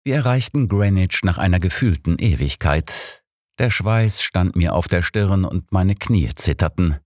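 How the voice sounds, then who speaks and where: Low-pitched male audiobook narrator. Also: lively intonation, measured pace, darkish tone, no room sound, studio quality. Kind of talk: scripted first-person story narration.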